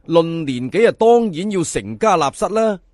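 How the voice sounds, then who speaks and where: A male Cantonese storyteller narrates colloquially to his listeners. His voice sits a little high and moves up and down a great deal, with theatrical emphasis. The recording is close and dry. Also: lively intonation, moderate pace, darkish tone, dry recording. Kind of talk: colloquial folk-tale narration.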